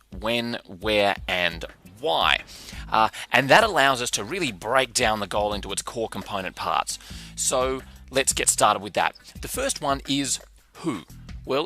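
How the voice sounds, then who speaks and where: A man under fifty teaching a lesson in a lively, conversational way, using his own examples. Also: medium pitch. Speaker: instructor. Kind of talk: explaining a lesson.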